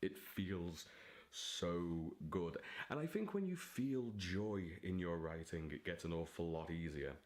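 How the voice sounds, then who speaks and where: A man's voice: sits low, animated, unhurried, darkish tone. A man talking casually to camera as a vlogger and writer, in a theatrical, giddy, digressive manner. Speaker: vlogger and aspiring novelist.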